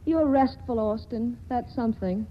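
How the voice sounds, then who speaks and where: A woman's voice: on the high side, normal speed, close to an everyday voice. A woman playing a restless, teasing young woman. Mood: cool. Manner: cool, teasing, lightly dismissive. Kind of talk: 1940s radio acting. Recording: muffled old radio broadcast with faint hiss.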